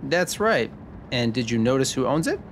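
A man playing a genial, sharp tax expert in a scripted noir radio drama, upbeat and explanatory. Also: medium pitch, big pitch swings, normal speed, slight background noise. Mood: cheerful. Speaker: podcast host acting in a radio drama.

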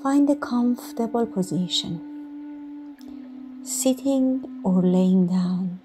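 A woman guides a scripted meditation at an extremely slow pace. She gives soothing instructions in short phrases, leaving pauses between them. Music plays clearly behind her voice, which sits at a medium pitch.

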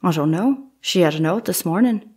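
A woman's voice: on the low side, animated, fairly quick, a little mellow, husky. A woman playing a plain-spoken nineteenth-century housemaid, testifying respectfully in a courtroom audio drama. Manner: plain, deferential. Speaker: actor.

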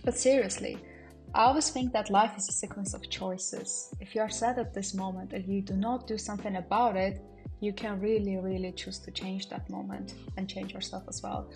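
A woman's voice: mid-range voice, fairly even intonation, moderate pace, a little mellow, faint music behind. A young woman in her mid-twenties from Armenia, chatting casually to the camera while she tidies her small apartment, a little nervous and reflective. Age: mid-20s. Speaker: vlogger. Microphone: camera on a stand, some lines away from it.

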